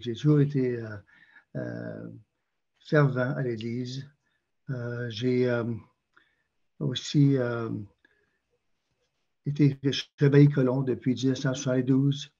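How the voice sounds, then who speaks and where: Older Canadian French man, husky and warm. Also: mid-range voice, takes it slowly, pauses often, dull audio. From Quebec, Canada. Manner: hesitant, many fillers and restarts. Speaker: interview guest. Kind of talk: prayer reading and interview answers.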